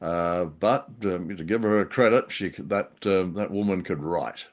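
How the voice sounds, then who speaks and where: A man's voice: low-pitched, a little slow. A man co-hosting a podcast, chatting casually about an old radio drama, hesitant with many ums and a dry, self-mocking humor. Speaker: podcast co-host.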